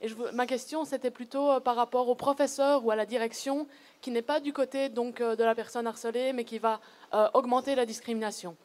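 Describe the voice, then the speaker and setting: Female audience member, Belgian French accent, slightly high voice, unscripted and halting as she frames a question. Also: fairly even intonation, darkish tone. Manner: hesitant, halting phrasing.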